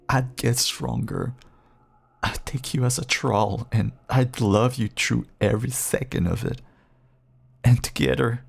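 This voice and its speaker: A man acts out a caged vampire lover in an intimate roleplay drama. His voice is husky and full of gravelly fry, and he draws his words out slowly. He coaxes and pleads seductively, with a bitter, sardonic edge. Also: mid-range voice, exaggerated voice. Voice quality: husky, gravelly, breathy at times. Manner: slow, coaxing, with sardonic edges. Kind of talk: intimate roleplay audio acting, addressing a partner.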